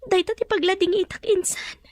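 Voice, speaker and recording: An actress plays a sorrowful young woman expecting a child in a radio-style drama. Her voice sits very high and distressed, in a dry recording with no room around it. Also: steady tone, fairly quick, no room sound. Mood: sorrowful, tearful. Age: young adult. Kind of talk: Ilocano audio drama acting.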